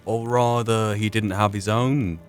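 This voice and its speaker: Male gamer-narrator, low register, musing and philosophical, rhetorical questions, soft music underneath. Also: steady tone, measured pace. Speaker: gamer narrating a roleplay playthrough. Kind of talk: reflective solo game commentary, musing in and out of character. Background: video game music.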